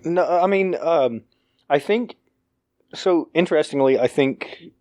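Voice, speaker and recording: A man's voice: fairly high pitch, unhurried, a little mellow, no echo. A young man co-hosting a podcast in casual chat, rambling with lots of fillers and restarts. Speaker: podcast co-host.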